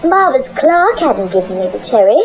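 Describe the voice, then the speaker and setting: A woman's voice: on the high side, very animated, normal speed, soft background music. An actress playing a flustered, indignant village baker woman in a comic radio drama. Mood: indignant, defensive.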